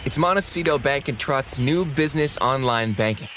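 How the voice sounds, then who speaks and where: A man's voice: medium pitch, lively intonation, moderate pace, a bit bright, a little hiss or hum, lightly acted. A man playing an easygoing businessman in an ad. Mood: breezy. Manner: breezy, upbeat ad dialogue. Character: confident businessman in a bank ad. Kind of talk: scripted radio ad acting.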